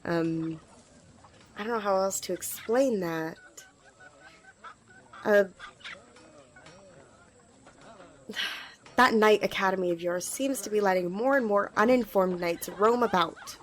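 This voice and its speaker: A woman's voice: mid-range voice, a little slow, long pauses, a little hiss or hum, natural voice. A woman playing an eager, apologetic young squire in an ASMR fantasy roleplay, rambling and stammering as she speaks to the listener. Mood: puzzled, amused. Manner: rambling, hesitant, stammering, giggly. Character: eager, flustered young female magic-wielding squire, apologetic.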